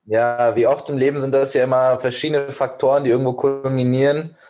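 A young man, a founder being interviewed. His voice sits at an ordinary pitch while he gives long, winding, earnest answers. He is full of fillers and quick restarts. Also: little pitch movement, darkish tone. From Germany. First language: Persian. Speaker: startup founder, guest. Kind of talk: podcast interview.

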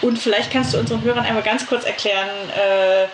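A woman's voice: fairly high pitch, fairly even intonation, fast. A woman hosting a podcast, casually welcoming and questioning her guests in a conversational way. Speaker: podcast host. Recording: roomy sound with clear background noise.

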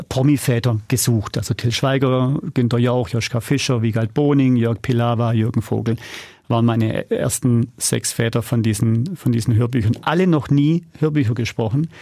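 A man's voice: mid-range voice, gravelly, dark tone, no room sound, studio quality. A man speaking as a podcast guest, telling stories conversationally and acting out bits of quoted dialogue. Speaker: podcast guest, audio drama producer. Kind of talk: conversational interview answers.

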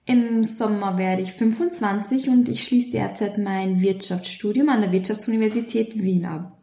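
A woman's voice: mid-range voice, steady tone, moderate pace, dark tone, roomy sound. A woman, co-hosting a podcast in effusive casual chat. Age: 20s. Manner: effusive, gushing. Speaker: podcast co-host.

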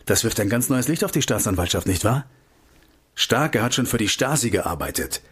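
A man's voice: on the low side, lively intonation, normal speed, a bit bright, slightly rough, heavily acted. An actor playing an investigative researcher in a radio play, terse, urgent and matter-of-fact as he lays out facts. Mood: urgent, insistent. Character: male investigative researcher briefing a contact, urgent and knowing. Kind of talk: radio drama dialogue.

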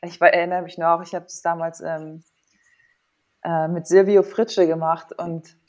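A woman's voice: lowish voice, flat delivery, a little slow, darkish tone. A woman in her thirties talking informally and candidly as an interview guest, thinking aloud as she tells personal stories. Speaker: interview guest, former athlete and sports psychologist. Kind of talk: casual personal storytelling in an interview.

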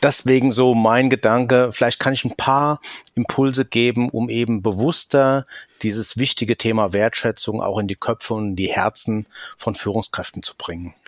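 A man in his fifties with a Hessian accent, a leadership coach explaining calmly as a podcast guest, with frequent fillers. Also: mid-range voice, normal speed, no echo, studio quality. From Rhine-Main area, Germany. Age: 50s. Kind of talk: podcast interview.

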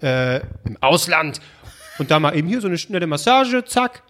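A man with a slightly high voice chats casually, punctuating his account with snappy sound-effect words. His manner is loose and conversational. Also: very animated, fairly bright tone. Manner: chatty, quick reactions and sound effects like "zack". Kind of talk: casual chat.

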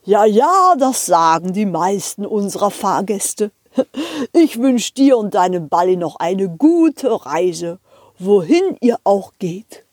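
A woman's voice: medium pitch, big pitch swings, slow, darkish tone, no echo, studio quality. A woman voicing a friendly, chatty male locomotive driver in a children's audio play, good-natured and courteous. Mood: genial.

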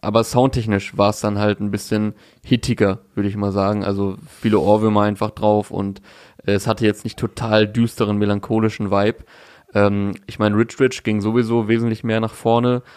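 A man's voice: on the low side, little pitch movement, moderate pace, a little mellow, clear, resonant tone, dry recording. A young man in casual chat, talking through a music release with fillers and restarts. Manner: thoughtful, many fillers and restarts. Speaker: podcast co-host discussing music.

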